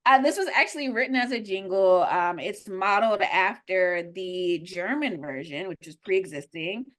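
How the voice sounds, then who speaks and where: Woman, Spanish native speaker, casual podcast co-host, chatty, mid-pitched, filler-heavy. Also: lively intonation, normal speed. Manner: chatty, many ums and likes. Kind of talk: casual music chat.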